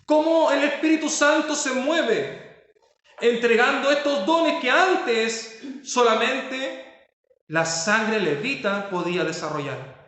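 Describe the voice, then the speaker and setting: High-voiced preaching pastor, very echoey room. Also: male voice, slow pace, fairly bright tone. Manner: preaching with rising emphasis and rhetorical questions. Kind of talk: sermon.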